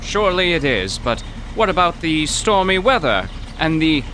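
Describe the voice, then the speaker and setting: An actor playing a fussy, nervous professor who speaks formally. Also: male voice, a little high, sing-song, moderate pace, sharp tone, slight background noise. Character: fussy, cautious male professor.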